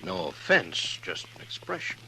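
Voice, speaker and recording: An actor playing a haughty, curt frontier gambling boss in a 1950s radio western. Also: male voice, normal speed, pushed and bright. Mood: irritated, guarded. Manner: curt, condescending. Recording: noisy 1950s radio drama recording.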